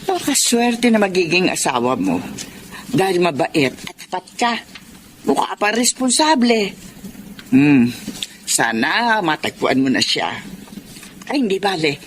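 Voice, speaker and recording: A woman's voice: fairly low pitch, very animated, measured pace, pauses often, a little hiss or hum. An actress playing a grateful, chatty, generous businesswoman in a radio drama, effusive and motherly in manner. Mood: kindly.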